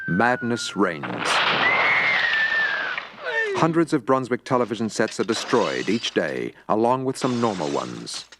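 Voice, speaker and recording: A man giving dramatic, newsreel-style scripted narration for an animated film. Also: on the low side, measured pace, very noisy.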